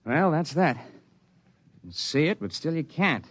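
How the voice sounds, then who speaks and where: An American actor playing a level-headed outdoorsman in an old radio drama, telling his story in a measured, plainspoken way. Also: a little high, sing-song, exaggerated voice. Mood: intent, conversational. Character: level-headed male outdoorsman narrating his mountain adventure. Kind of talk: old-time radio drama acting, first-person narration and dialogue. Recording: noisy vintage radio drama recording.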